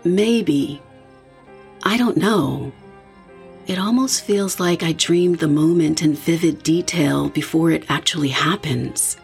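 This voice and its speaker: A woman's voice: low voice, takes it slowly, vocal fry, soft background music, exaggerated voice. A woman playing a young partygoer who is reflective and a little uneasy, in an audio horror drama. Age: young adult. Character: young woman partygoer, uneasy and open about eerie feelings.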